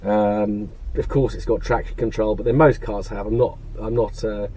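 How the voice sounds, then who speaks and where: A British man talks in a hoarse, croaky rasp, his laryngitis plain in every word. His tone is dark and mellow, and he rambles along in a low-key way from the driver's seat of a parked van. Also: fairly even intonation, moderate pace, low-quality recording. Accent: British English. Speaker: car channel host. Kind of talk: casual rambling chat to camera. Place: parked van cab, seated.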